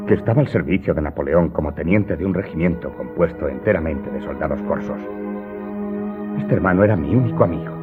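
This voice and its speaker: An actor playing an aggrieved servant earnestly telling his story to his master in a radio drama. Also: a bass voice. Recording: muffled narrow-band audio drama recording. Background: loud music.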